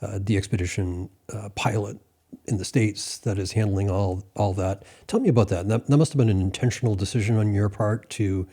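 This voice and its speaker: Mellow, low-voiced male interviewer. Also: fairly quick, slightly rough. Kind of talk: conversational interview questioning.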